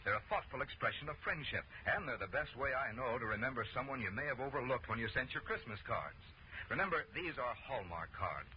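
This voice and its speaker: A man's voice: mid-range voice, expressive, brisk. A man reading a sponsor message as a radio announcer, polished and persuasive, in 1940s advertising style. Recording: muffled vintage radio recording, faint hiss.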